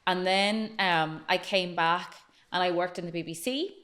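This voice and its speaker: Woman, late 40s, chatty storyteller, slightly low voice, interview guest. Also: steady tone, fairly bright tone. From Northern Ireland. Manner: chatty, fast-flowing storytelling. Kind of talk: casual podcast interview.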